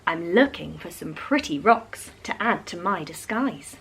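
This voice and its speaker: A woman's voice: lowish voice, animated, measured pace, vocal fry, slight background noise, voice pushed down, poor audio. A woman voicing a downcast but polite decorator crab asking for help in a children's storybook.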